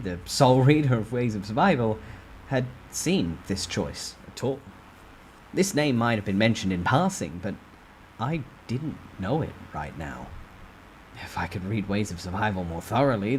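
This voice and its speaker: A man reading a novel aloud as a storyteller, with expressive, theatrical emphasis in a dramatic first-person narration. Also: mid-range voice, a little hiss or hum, rough recording.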